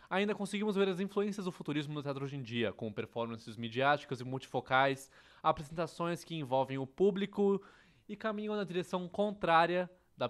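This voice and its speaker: A man with a Brazilian accent presenting an educational course in scripted narration. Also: a little high. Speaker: educational presenter dubbing a theatre history course.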